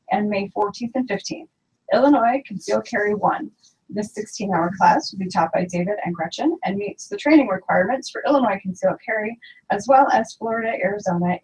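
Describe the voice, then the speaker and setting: A woman co-hosting a talk radio show, reading event and class announcements in a steady, matter-of-fact way. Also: medium pitch, rough recording.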